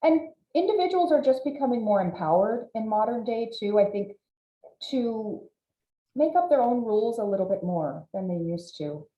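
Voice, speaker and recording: A woman's voice: on the high side, sing-song, normal speed. A woman hosting an interview, talking in a reflective, enthusiastic way. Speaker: host, interviewer. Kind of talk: conversational interview. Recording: dull echoey room audio.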